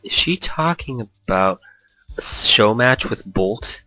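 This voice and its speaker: A man's voice: mid-range voice, expressive, takes it slowly, bright, forceful tone, dry recording, rough recording. A man voicing an earnest, defensive young male pro gamer in a visual novel game. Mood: wary. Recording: faint music behind the voice.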